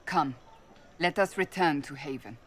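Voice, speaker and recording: A woman's voice: low-pitched, measured pace, a bit bright, husky, heavily acted. Stern fantasy warrior lady, blunt and formal, big echoey hall, game dialogue. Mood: firm, wary. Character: stern, suspicious woman warrior of a fantasy order.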